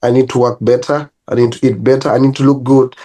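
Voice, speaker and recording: Ugandan man telling relaxed stories. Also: mid-range voice, fast talker. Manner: relaxed storytelling with laughs and restarts. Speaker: guest, personal trainer. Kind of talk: casual interview.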